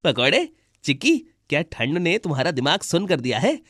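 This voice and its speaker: A man's voice: a little high, sing-song, normal speed, fairly bright tone, dry recording, studio quality. Sceptical, plucky crow voiced by a man. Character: sceptical but brave male crow friend. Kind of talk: Hindi children's cartoon voice acting.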